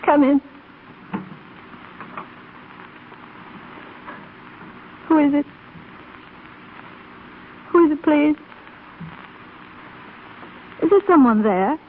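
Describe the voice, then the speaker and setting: An actress playing a gentle blind woman, brave yet yearning and despairing, in a 1930s radio drama. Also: high voice, lively intonation, takes it slowly, pauses often. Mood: anxious, hopeful. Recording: scratchy, phone-like 1930s radio broadcast recording.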